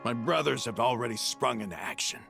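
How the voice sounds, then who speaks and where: An actor playing a loyal, battle-hardened male desert mercenary in a video game, gruff and deliberate with a gravelly voice. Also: mid-range voice, animated, music in the background. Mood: grimly eager. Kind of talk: scripted game dialogue.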